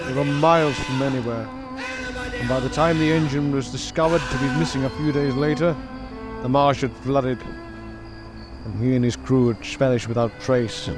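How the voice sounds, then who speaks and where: A man reading scripted story narration as the storyteller of an audio drama. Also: fairly high pitch. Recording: music under the voice.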